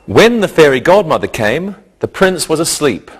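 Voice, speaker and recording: A man teaching English grammar in a scripted lesson, speaking in a deliberate, didactic way and setting out each point in short phrases. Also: fairly high pitch, big pitch swings, over loud music.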